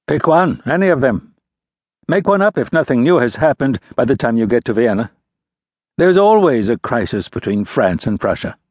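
A scheming, mocking ambassador prince of about forty, as a male narrator puts him on. His voice sits high and husky, swinging with lively, lofty scorn. The delivery is dry and close. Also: moderate pace, no room sound, natural voice.

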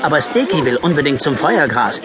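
A man's voice: a little high, very animated, fairly quick. A man narrating a children's audio story in a suspenseful, dramatic manner. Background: music.

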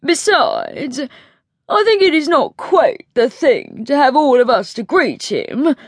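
A woman's voice: high-pitched, sing-song, slow, no room sound, clean studio sound, voice pushed up, full character voice. A woman voicing a male footman who is affected, mincing and contemptuous, in storybook narration. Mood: sneering, affected.

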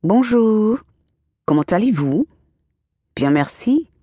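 A woman's voice: medium pitch, sing-song, takes it slowly, warm tone, no echo, clean studio sound, voice pushed up. A woman voicing an eager nine-year-old girl who practices French with careful, choppy, musical phrasing in an audiobook. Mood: eager, proud.

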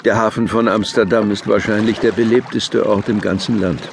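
Measured male children's audiobook narrator. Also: lowish voice, steady tone, gravelly, background noise. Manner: measured, explanatory.

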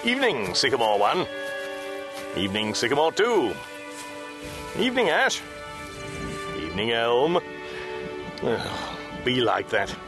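An actor playing a lonely, flustered, indignant castaway in a radio comedy. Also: male voice, mid-range voice, measured pace, long pauses, music in the background. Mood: lonely, peevish. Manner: excitable, stammering, talks to himself. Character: lonely, flustered stranded Englishman, eccentric and indignant. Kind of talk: radio comedy drama acting.

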